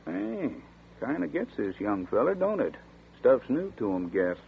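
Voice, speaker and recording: An actor playing a chatty small-town sheriff's deputy who talks in a folksy rural dialect, in 1940s radio acting. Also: male voice, mid-range voice, very animated, a little slow, creaky. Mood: storytelling. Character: folksy drawling small-town male deputy, middle-aged. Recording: tinny scratchy 1940s radio broadcast.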